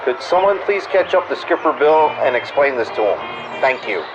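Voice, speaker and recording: A man's voice: medium pitch, very animated, moderate pace, lightly acted. A man playing a wisecracking jungle cruise radio host, reading mock-official comedy bulletins in old-time announcer style with dry delivery. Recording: muffled lo-fi radio sound over music.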